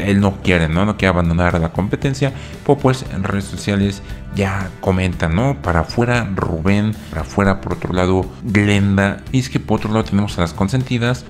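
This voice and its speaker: A man's voice: on the low side, darkish tone. A man hosting a casual video commentary on a reality show, rambling with many fillers and asides.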